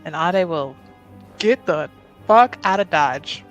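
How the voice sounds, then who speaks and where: A woman's voice: lowish voice, animated, takes it slowly, dull audio, faint music behind. A woman playing a tabletop roleplaying game, casually improvising and narrating what a character does.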